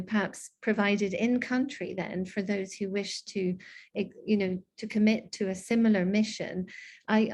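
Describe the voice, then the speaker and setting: A middle-aged woman with a light Scottish accent answers questions in an online discussion. She thinks aloud as she goes, with frequent ums and restarts. Her voice sits at an ordinary middle pitch. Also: darkish tone. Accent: slight Scottish. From Scotland. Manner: thoughtful, with many ums and restarts. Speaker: guest speaker.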